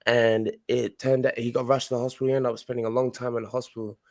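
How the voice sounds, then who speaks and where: A man's voice: medium pitch, flat delivery, quick pace, a little mellow. A young man from Australia, a guest in a casual interview, talking in a laid-back, rambling way with many restarts.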